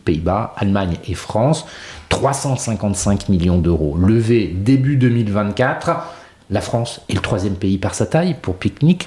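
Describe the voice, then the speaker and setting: Lively man hosting a podcast. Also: mid-range voice. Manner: lively, expressive intonation. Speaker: podcast host. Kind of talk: podcast interview. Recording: roomy echo.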